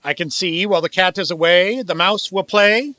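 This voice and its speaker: A man's voice: high-pitched, moderate pace, bright, forceful tone, dry recording. An actor playing a cold, miserly old businessman in a radio play, speaking in a formal, sarcastic, condescending way. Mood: sarcastic, menacing. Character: cold, miserly old male business owner, scornful of Christmas. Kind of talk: theatrical radio play acting.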